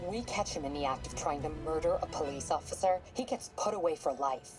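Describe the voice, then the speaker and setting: A stubborn ex-police captain turned vigilante speaks in a low, cool, controlled voice. She sounds set in her resolve. A game music score plays clearly under her lines. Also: expressive, fairly quick. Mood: calm. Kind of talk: video game cutscene acting.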